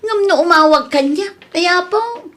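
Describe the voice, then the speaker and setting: A woman's voice: extremely high pitch, expressive, a little slow, fairly bright tone, heavily acted. Woman playing caring, practical Ilocano family carer. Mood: concerned. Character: caring, practical Ilocano woman looking after an old father. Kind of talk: Ilocano comedy radio drama acting.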